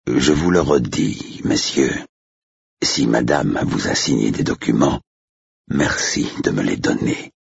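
Man acting a very deep, growling, menacing hero. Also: animated, vocal fry, breathy edge, no room sound, highs cut off, heavily acted. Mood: firm. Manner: growling, deliberate. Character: stern, menacing Englishman, slow-spoken and coldly determined.

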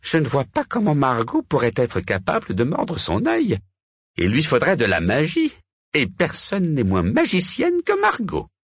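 A man's voice: medium pitch, wildly swooping pitch, a little slow, slightly rough, dry recording, studio quality, pitched above the performer's own voice, exaggerated voice. A man voicing a vain, scheming witch in a storybook, melodramatic and easily indignant. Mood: smug scheming. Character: vain, shrieking witch with a huge pointed chin.

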